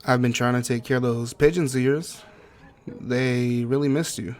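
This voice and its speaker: A man acting as a grieving, guilt-ridden partner in an intimate audio roleplay, speaking tenderly and haltingly to a sweetheart. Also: medium pitch, steady tone, a little mellow, natural voice. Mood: tender, wistful. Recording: clearly noisy track with ambience.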